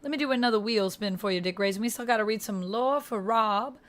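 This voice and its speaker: A woman's voice: fairly high pitch, fast. Woman livestream host, Georgia-style Southern accent, casual and chatty, talking directly to viewers by name. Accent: Southern US, Georgia, put on.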